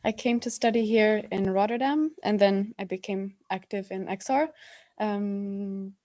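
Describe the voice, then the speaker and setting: A young woman from Slovakia, a singer, answering on a video call, soft-spoken and hesitant. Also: medium pitch, steady tone, unhurried.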